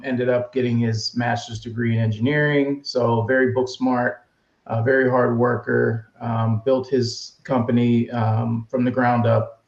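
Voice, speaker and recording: A man with a gravelly, creaky voice talks through his story in a relaxed, conversational way. His voice has a dull, lo-fi sound, with a roomy echo around it. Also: medium pitch. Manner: easygoing, storytelling. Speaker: guest. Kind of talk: casual interview answers. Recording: echoey room, dull lo-fi sound.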